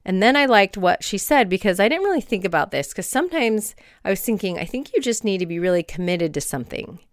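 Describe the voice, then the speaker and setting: A woman in her forties hosting her own podcast, chatting in a rambling, friendly way with soft laughs, a little hoarse from a cold. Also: medium pitch, very animated, fast, dry recording, studio quality. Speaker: podcast host. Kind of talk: casual solo podcast chat.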